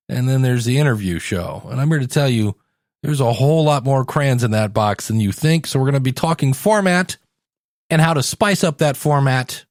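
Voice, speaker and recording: A man's voice: medium pitch, a little mellow, no echo, clean studio sound. An American man hosting a solo podcast, coaching listeners in a conversational, direct way. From United States, Ohio. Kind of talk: solo podcast talk, teaching.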